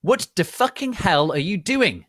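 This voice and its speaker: A man voicing a furious, sarcastic, melodramatic teenage goth girl who shouts and growls. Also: high voice, very animated, measured pace, no echo, studio quality, voice pushed up, exaggerated voice.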